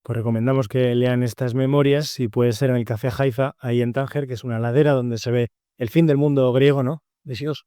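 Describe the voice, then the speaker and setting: Male radio host with a smooth, mellow voice. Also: mid-range voice, fairly even intonation, brisk, dry recording, clean studio sound. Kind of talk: radio interview.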